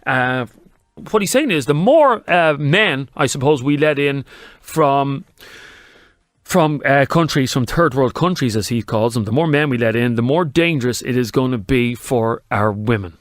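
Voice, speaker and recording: A man's voice: medium pitch, animated, measured pace, no room sound, clean studio sound. An Irish man hosting a talk radio show, pressing his points emphatically and firing questions at callers. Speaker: radio host. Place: radio studio. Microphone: studio mic.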